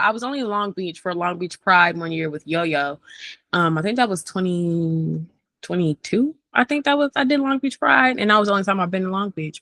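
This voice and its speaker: Young woman, bright voice, dry close sound, animated, chatty, casual interview guest. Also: medium pitch, no echo. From Maryland, USA. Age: young adult. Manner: animated, chatty, laughs often.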